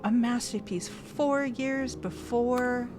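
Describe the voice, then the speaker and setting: A woman's voice: fairly high pitch, slow. Chatty woman thinking aloud over game music. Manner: thinking aloud, chatty, reads text from the screen. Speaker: streamer playing a game. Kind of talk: casual let's-play commentary.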